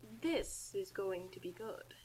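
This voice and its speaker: A woman voicing a laid-back, fond male chaos trickster in a quiet, unhurried, amused manner, for a story reading. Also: mid-range voice, lively intonation, a little hiss or hum, pitched below the performer's own voice, low-quality recording.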